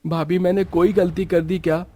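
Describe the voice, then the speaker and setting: A man's voice: sits high, level tone, moderate pace, warm tone, no room sound. A man playing an earnest young newlywed husband in a dramatised moral story, speaking insistently. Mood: earnest, firm.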